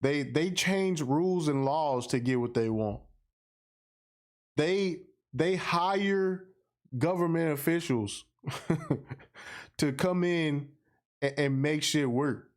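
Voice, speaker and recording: A man's voice: on the high side, takes it slowly, long pauses. An American man hosts a casual livestream monologue in a slightly raised voice. He takes his time, leaving space between short phrases. His delivery is slangy and emphatic, often repeating a phrase to drive it home. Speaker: podcast host. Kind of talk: casual livestream monologue, responding to viewer comments.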